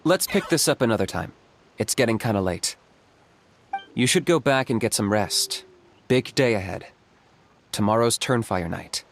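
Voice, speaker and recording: An actor playing a calm, aloof young warrior in a video game, measured and laconic. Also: male voice, mid-range voice, a little hiss or hum, close to an everyday voice.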